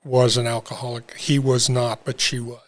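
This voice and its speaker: An older man telling his own recovery story in an interview, talking haltingly with many fillers and restarts. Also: medium pitch, level tone. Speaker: interview guest. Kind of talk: reflective personal story.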